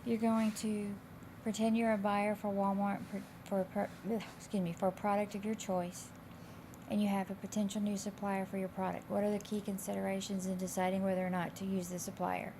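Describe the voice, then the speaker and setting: A woman instructor with a slightly raspy, hoarse voice, explaining coursework in a casual, loosely phrased, conversational way. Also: mid-range voice, flat delivery, a little fast, warm tone, poor audio. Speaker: college instructor. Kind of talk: informal explanation of course assignments. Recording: clear background noise.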